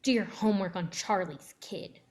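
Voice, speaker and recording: A woman's voice: mid-range voice, normal speed, close to an everyday voice. A woman playing an angry, protective mother in a dramatic script reading, clipped and confrontational. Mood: stern. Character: angry protective mother of a nine-year-old girl.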